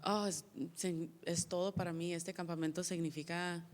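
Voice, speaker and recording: A woman fighter answering press questions in a large room, soft-spoken and halting, often restarting her words. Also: on the low side, level tone. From United States. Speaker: MMA fighter at press scrum. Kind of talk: media day interview answers. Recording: reverberant hall.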